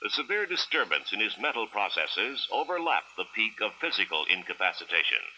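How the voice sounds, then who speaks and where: A man's voice: on the low side, pushed and bright, slightly rough, rough recording. An American man reading formal, clinical narration for an educational film in the style of the 1960s, on an old film soundtrack.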